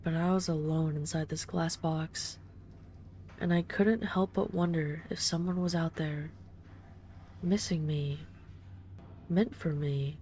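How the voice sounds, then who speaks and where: Ageless female vampire for intimate audio roleplay, played by a woman: low, dark-toned, soft, unhurried, tenderly superior. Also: fairly even intonation. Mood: wistful, reflective. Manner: unhurried, intimate, gently condescending. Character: ageless female vampire, boss's daughter, tender, wistful and commanding.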